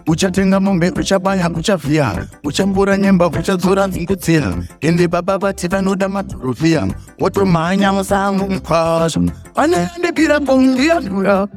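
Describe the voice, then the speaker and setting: A man singing a song in a drawn-out, chanting way. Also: sits high, animated, soft background music.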